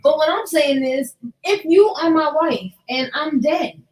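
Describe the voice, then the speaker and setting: High-voiced woman hosting a casual podcast. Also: measured pace, poor audio. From New York. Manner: slangy, profane. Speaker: podcast host. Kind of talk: casual chat.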